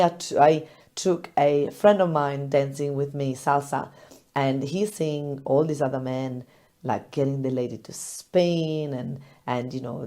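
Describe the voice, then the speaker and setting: A hoarse woman with a crackly, very low voice talks to her listeners in a loose, expressive monologue. Her tone is dark and mellow, and she laughs often between thoughts. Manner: chatty and expressive, laughs often, animated retellings. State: hoarse, recovering lost voice. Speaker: business podcast host. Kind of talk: casual solo podcast talk.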